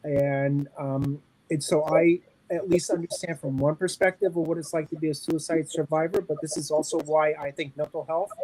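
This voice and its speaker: A man's voice: on the high side, darkish tone, low-quality recording. A man in his early forties speaking earnestly on a panel, often restarting his sentences. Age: 40s. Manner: earnest, halting with frequent restarts. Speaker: panel speaker on community health planning. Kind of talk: panel discussion.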